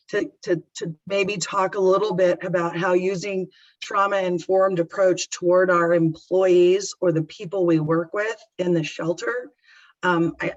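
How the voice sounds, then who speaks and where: A woman moderating a webinar on a video call, speaking emotionally with stumbling restarts. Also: fairly low pitch. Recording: dull lo-fi call audio.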